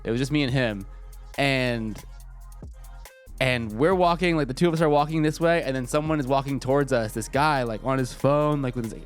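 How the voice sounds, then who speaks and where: A man's voice: medium pitch, moderate pace. A man streaming live, a little congested and stuffy, chatting casually and joking with lots of profanity. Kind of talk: casual livestream chat. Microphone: desk microphone. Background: faint music.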